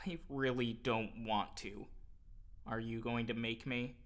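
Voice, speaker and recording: A man's voice: mid-range voice, steady tone, a little slow, fairly bright tone, natural voice. A man playing his guarded, reluctant eighteen-year-old self in an audio drama, with terse, unsure delivery.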